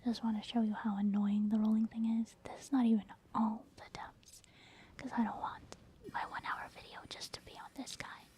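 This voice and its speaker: A woman's voice: a little high, flat delivery, fairly quick, dark tone. A woman whispering casual, rambling ASMR commentary over gameplay in a conversational way. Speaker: gaming ASMR creator, commentator.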